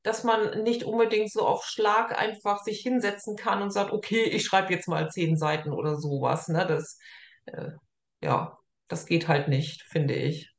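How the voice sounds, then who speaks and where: A woman's voice: medium pitch, steady tone, a little slow, gravelly. A woman interviewing casually and informally, often tagging her sentences with little questions for agreement. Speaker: interviewer, host. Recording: roomy echo on the voice.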